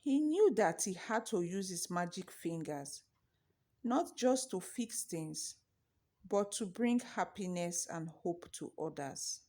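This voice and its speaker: A woman narrating a scripted children's folktale with measured, deliberate phrasing. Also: fairly low pitch, expressive, mellow. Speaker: storyteller.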